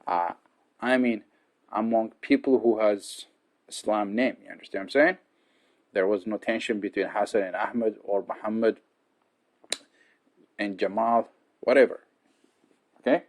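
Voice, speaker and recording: Young man from Ethiopia, low voice, earnest student addressing his peers. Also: a little slow, long pauses. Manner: earnest, repeats "okay" and "right?" to check in. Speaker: student giving advice to peers. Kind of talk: casual monologue to camera.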